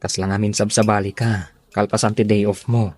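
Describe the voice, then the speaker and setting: An actor with an Ilocano accent playing an attentive, coaxing boyfriend in a radio drama. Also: male voice, fairly low pitch, little pitch movement, a little fast, a little mellow, lightly acted. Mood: concerned, coaxing. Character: attentive boyfriend coaxing his moody sweetheart.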